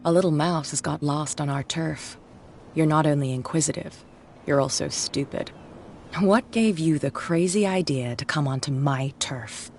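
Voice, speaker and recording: A woman's voice: low-pitched, moderate pace, darkish tone, a little hiss or hum. A woman voicing a ruthless bootlegger gang boss in a video game, cold, mocking and threatening. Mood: menacing.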